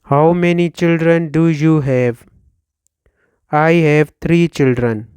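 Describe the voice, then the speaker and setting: A Pakistani-accented man in his thirties, whose first language is Urdu, leads an English drill at a very slow, careful pace. His smooth, clear voice sits a little high for a man. The sound is dry and close, with no room echo. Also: steady tone, darkish tone. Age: 30s. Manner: slow, deliberate, repeats each line for learners. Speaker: English teacher. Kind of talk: slow scripted question-and-answer drill.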